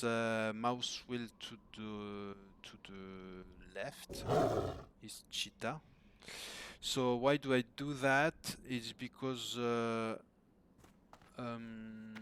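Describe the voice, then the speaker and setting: A hoarse middle-aged man speaking as an at a home desk mic, his voice a touch low. He talks slowly and haltingly, stopping and restarting with lots of uhs. A faint hiss runs under the recording. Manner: hesitant, many fillers and restarts. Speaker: gamer asking for coaching. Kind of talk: casual recorded message to a coach. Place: home room.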